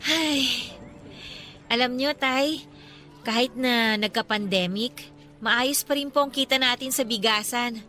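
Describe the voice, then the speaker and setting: A woman's voice: on the high side, slow, long pauses, pushed and bright, faint music behind. A woman acting a cheerful, devout daughter in her twenties in a Filipino radio drama, with a playful, respectful and earnest manner and a Filipino accent. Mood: earnest, upbeat. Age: 20s. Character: cheerful, devout, teasing 28-year-old daughter.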